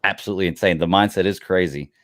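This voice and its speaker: A man's voice: low voice, rapid-fire. A man co-hosting a casual podcast chat, talking enthusiastically and repeating his praise. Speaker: podcast co-host.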